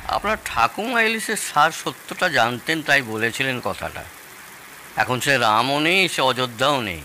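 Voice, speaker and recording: Man playing bright-voiced nostalgic older father-in-law. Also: mid-range voice, big pitch swings, a little slow, a little hiss or hum, full character voice. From Bangladesh. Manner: expansive, nostalgic storytelling. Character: genial older father-in-law, nostalgic Bengali storyteller, fond of hilsa. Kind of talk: Bengali audio drama acting.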